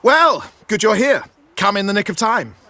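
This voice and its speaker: Bright, high-voiced flamboyant British showman. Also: sing-song, normal speed, exaggerated voice. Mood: warm, reassuring. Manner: theatrical, effusive. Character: flamboyant, warm-hearted male travelling performer, jovial and generous. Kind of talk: video game voice acting.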